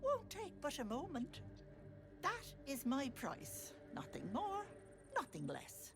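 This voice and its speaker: A woman's voice: high voice, wildly swooping pitch, slow, music in the background, exaggerated voice. A woman voicing a sly old hag who poses as a doting auntie in a video game, with an Irish accent and sugary endearments edged with menace. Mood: coaxing, sly. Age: older. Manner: cloying endearments turning to menace. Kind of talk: theatrical video game acting.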